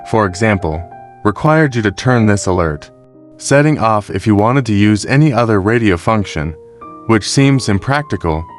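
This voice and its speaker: A man reads a scripted product review narration in a measured, explanatory way. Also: fairly low pitch. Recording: music behind the voice. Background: background music.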